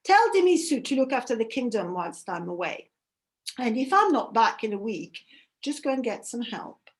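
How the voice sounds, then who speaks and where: A woman's voice: a little high, big pitch swings, moderate pace. Woman voicing a commanding young goddess. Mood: resolute. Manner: imperious, urgent.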